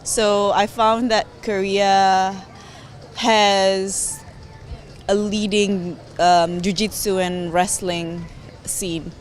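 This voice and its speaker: Halting man speaking slowly outdoors. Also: high voice, level tone, a little hiss or hum. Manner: halting, searching for words. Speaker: interviewee, professional fighter. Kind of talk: casual street interview answers. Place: outdoors on a city street.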